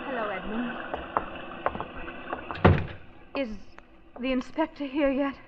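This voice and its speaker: A woman's voice: high voice, normal speed, lightly acted. A woman playing an anxious, well-bred young lady in a 1940s radio drama, polite but hesitant and faltering. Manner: hesitant, breaking off and repeating words. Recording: muffled old radio recording, faint noise.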